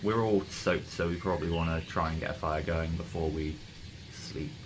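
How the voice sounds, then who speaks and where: A man's voice: deep voice, fairly even intonation, moderate pace, noisy background. A man chatting casually as a player in a tabletop game, in a matter-of-fact, understated way. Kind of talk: casual chat.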